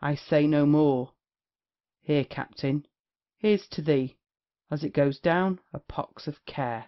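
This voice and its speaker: A man playing a worldly, wry gentleman of the court in a period comedy audio play, with declamatory delivery. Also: on the high side, slow. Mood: wry, knowing. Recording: dull lo-fi audio.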